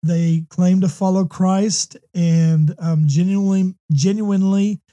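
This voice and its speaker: A hoarse, slightly congested man from the United States hosting a faith talk show, speaking earnestly with many ums and restarts. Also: high-pitched, fairly even intonation, measured pace, warm tone. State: hoarse with a runny nose. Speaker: podcast host.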